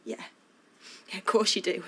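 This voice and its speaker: An actress playing a defiant, self-pitying grown-up daughter in a TV soap, sarcastic and accusing, with a Northern English accent. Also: female voice, normal speed, sharp tone, natural voice. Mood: sarcastic, goading. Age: young adult. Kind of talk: naturalistic television soap drama acting.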